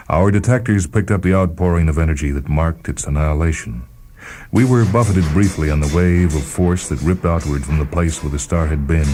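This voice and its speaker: A man with an extremely low-pitched American voice narrates fiction in a measured, grave way. His tone is dark and soft-edged, and he places each phrase deliberately. Music plays behind him. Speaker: radio host and story reader. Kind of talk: dramatic scripted short-story narration.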